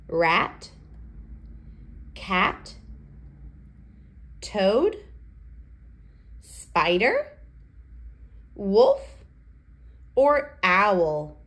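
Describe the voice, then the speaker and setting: A woman hosting a read-aloud for toddlers, speaking in a deliberate, sing-song way with simple phrasing. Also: mid-range voice. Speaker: children's read-aloud host.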